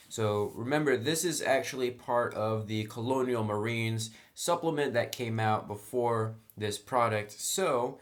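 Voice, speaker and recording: Man giving an informal game review. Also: mid-range voice. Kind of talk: informal product review commentary.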